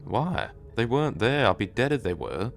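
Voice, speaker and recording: A man's voice: medium pitch, sing-song, normal speed, vocal fry. An actor playing an anxious crewman on a research ship, insisting with bravado in an audio drama. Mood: scared.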